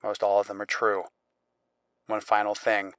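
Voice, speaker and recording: A male podcast host reads a wry, theatrical sign-off. His voice is low, smooth and fairly flat. It sounds close and dry. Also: normal speed, no echo. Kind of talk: scripted sign-off.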